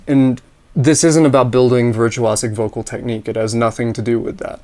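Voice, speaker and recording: A man with a slightly raspy voice, teaching ear training: he explains in a relaxed, practical way and sings solfege scales and intervals. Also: medium pitch, a little fast. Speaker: music teacher. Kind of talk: instructional talk with sung solfege drills.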